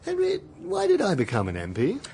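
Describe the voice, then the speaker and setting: A man's voice: high-pitched, wildly swooping pitch, fairly quick, gravelly, a little mellow, a little hiss or hum, heavily acted. A male actor in a radio comedy drama playing a dim, self-important, self-doubting politician who rehearses his speeches. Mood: plaintive doubt. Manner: oratorical when declaiming, hesitant in chat.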